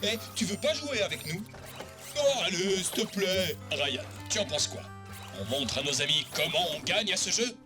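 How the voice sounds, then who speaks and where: A woman voicing a friendly, playful child in an animated cartoon, chummy and coaxing. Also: on the low side, normal speed, over music, close to an everyday voice.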